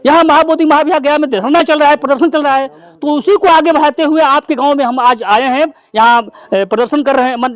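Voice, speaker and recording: A man's voice: very high-pitched, no room sound, highs cut off. A man speaking as an activist at a public campaign, explaining in a didactic way with rhetorical questions.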